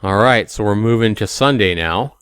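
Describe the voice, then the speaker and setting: A man's voice: on the low side, normal speed, fairly bright tone, clear, resonant tone, no echo, clean studio sound. A man hosting a let's play, reading a visual novel aloud expressively with casual commentary.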